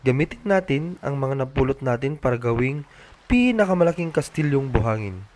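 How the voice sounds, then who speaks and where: A man's voice: medium pitch, very animated, fairly quick, a little mellow, pitched above the performer's own voice, lightly acted. A man voicing an upbeat young girl in a children's storybook. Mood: overjoyed.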